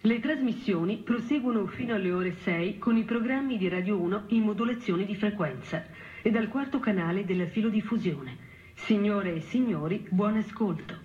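A muffled, lo-fi archive radio recording of a woman. She is a continuity announcer of medium pitch, speaking in a formal broadcast style. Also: normal speed, darkish tone.

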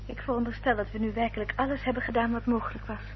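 A woman playing a resolute, guarded Victorian gentlewoman in a Dutch radio drama, speaking in a measured, formal, articulate way. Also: a little high, fairly even intonation, natural voice. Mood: earnest, firm. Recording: dull old radio recording, hum and noise.

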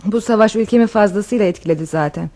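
A woman playing a genteel, expressive countess in a radio theater romance. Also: mid-range voice, fast, lightly acted. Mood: affectionate, reproachful. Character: refined countess, affectionate, anxious, in a wartime romance.

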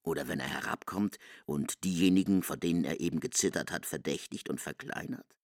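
An actor in a literary radio drama playing a stern, argumentative man who reproves in measured rhetorical questions. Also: deep voice, no room sound, clean studio sound. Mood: reproving.

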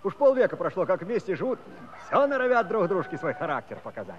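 An actor playing a gossipy, lively village Cossack, narrating a comic audio drama in a chatty, folksy way. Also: male voice, sits high, fast, muffled recording. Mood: amused, gossipy.

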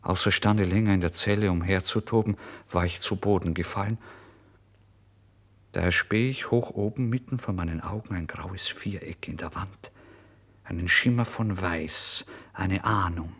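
A man acting a starving, feverish, proud narrator near madness in a dramatic radio play monologue, intense and theatrical, swinging between hush and outcry. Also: deep voice, normal speed. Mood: exhausted, hushed.

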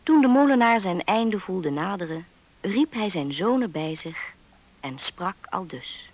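A woman narrating a fairy tale for a 1970s radio play in a composed, measured storytelling manner. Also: medium pitch, animated, darkish tone, poor audio.

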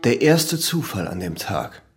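Formal, probing examining magistrate. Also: male voice, fairly low pitch, sing-song, unhurried, soft background music. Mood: measured. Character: persistent, methodical male examining magistrate. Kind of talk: German radio drama acting.

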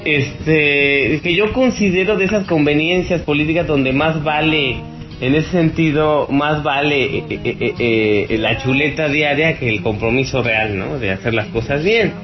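Halting Mexican man over prominent music. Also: fairly high pitch, dull audio. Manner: halting, rambling, opinionated. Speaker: radio show host. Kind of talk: informal political commentary monologue.

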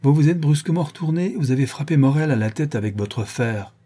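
Stern middle-aged woman interrogator, read by a man, husky and mellow, coldly accusing. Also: a little high, expressive, brisk, voice pushed up. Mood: probing. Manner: cold, probing, relentlessly accusing.

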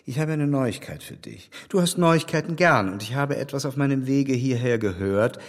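An actor playing an earnest, kindly older gentleman who speaks in a measured, gently reproving way. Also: medium pitch, animated, in a large hall, lightly acted. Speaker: gentleman advising the heroine. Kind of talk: radio drama acting.

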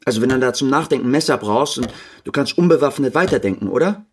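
An actor playing an anxious writer pleading with his partner in a naturalistic radio drama, halting and urgent. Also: mid-range voice, animated, husky. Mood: alarmed, pleading.

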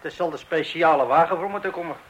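A man's voice: fairly high pitch, fast talker, fairly bright tone, dull audio, lightly acted. A man playing a stubborn, rule-bound refuse collector with a blunt manner. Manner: blunt, colloquial, insistent. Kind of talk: radio play acting.

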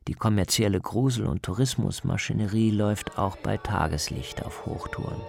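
A man narrating a scripted radio travel feature as the reporter, in a measured, descriptive way. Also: lowish voice, gravelly, darkish tone, faint music behind.

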